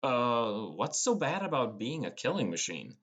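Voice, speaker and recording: A man's voice: mid-range voice, very animated, unhurried, fairly bright tone, breathy edge. A man reading scripted first-person fantasy narration as an audiobook narrator. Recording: music bed under voice. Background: prominent plucked guitar music.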